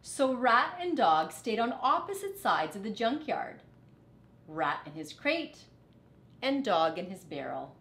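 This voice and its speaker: A middle-aged woman reading a children's picture book aloud deliberately and expressively in a living room. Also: medium pitch, big pitch swings, a bit bright, echoey room. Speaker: storyteller, teacher. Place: living room, indoors on a couch.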